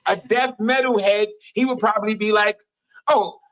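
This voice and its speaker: A man chatting casually as an interview guest, animated and opinionated, using slang and repeating phrases for emphasis. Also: sits high, moderate pace, rough recording. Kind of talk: casual chat, storytelling. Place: radio station studio.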